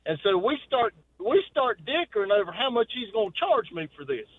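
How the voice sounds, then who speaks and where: Caller, middle-aged man, high voice, folksy storyteller over a tinny phone line. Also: very animated, a little fast, slightly rough. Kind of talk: telling a story on a phone call.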